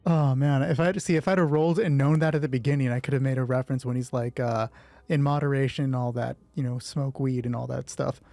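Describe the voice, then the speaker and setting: A man narrating a solo tabletop roleplay as host, moving between measured storytelling and casual asides. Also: mid-range voice, fairly even intonation, gravelly, warm tone.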